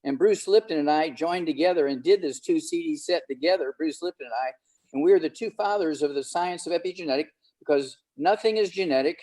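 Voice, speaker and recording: A man's voice: on the high side, fairly quick, mellow, low-quality recording. A man in his eighties, a panel guest on a video call, talking boastfully and rambling with many fillers. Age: 80s.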